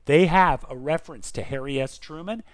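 A man's voice: on the high side, brisk, no echo, clean studio sound. A man co-hosting a podcast, reading listener mail and chatting in a theatrical, emphatic, jokey way. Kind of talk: lively casual podcast chat and letter reading.

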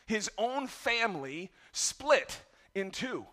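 A middle-aged man delivers a sermon in a raspy, strained voice. He speaks high and bright, candid and self-deprecating about raising his children. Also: very animated, takes it slowly. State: raspy voice from yelling. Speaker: pastor.

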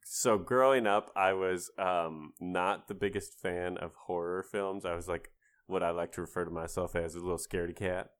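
A man with a low voice talks casually as a podcast co-host. He is playful and enthusiastic in his gamer chat, speaking in an informal conversational style. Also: steady tone, moderate pace, smooth. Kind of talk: casual chat about a video game.

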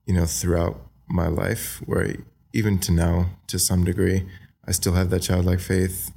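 A young man from Australia with an American accent, talking softly and hesitantly as a podcast guest, with many fillers. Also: deep voice, level tone, measured pace, vocal fry. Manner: soft-spoken, hesitant, many ums and likes. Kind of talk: casual interview talk.

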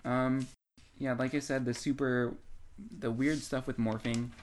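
A man with an average-pitched voice talks as a podcast co-host. He is informal and a bit geeky, rambling through his thoughts on books as in a casual chat. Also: flat delivery, unhurried, darkish tone. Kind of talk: casual book discussion chat.

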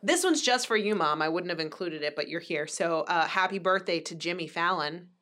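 A woman in her thirties co-hosting a casual chat podcast. She reads out dates with lively emphasis. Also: lowish voice, brisk. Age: 30s. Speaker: podcast co-host.

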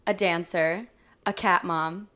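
Smooth-voiced chatty young woman. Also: on the low side, fairly even intonation, slow, bright, forceful tone. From California. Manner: chatty, upbeat, laughs often, filler words. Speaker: podcast co-host. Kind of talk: casual chat.

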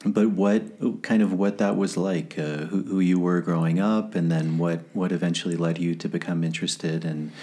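Deep mellow male podcast host. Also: steady tone, moderate pace, few pauses. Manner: hesitant, with many fillers and restarts. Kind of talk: interview.

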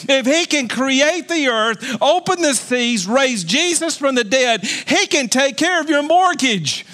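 A man's voice: extremely high pitch, expressive, unhurried, sharp tone. A man in his fifties preaching a sermon as pastor, emphatic and repetitive, with jokey asides. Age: 50s.

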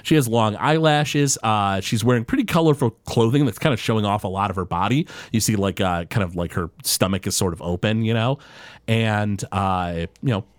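A man's voice: on the low side, normal speed, no room sound. A man narrating as game master of a tabletop game, rambling with many fillers.

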